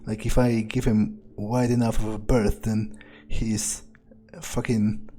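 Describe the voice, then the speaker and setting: Man playing a slow-talking, cynical vampire hitman. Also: fairly low pitch, little pitch movement, dark tone. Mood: calculating, irritated. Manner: musing, halting, foul-mouthed. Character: cynical, arrogant, cold-blooded male vampire hitman. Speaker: gamer playing in character. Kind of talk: in-character game playthrough monologue.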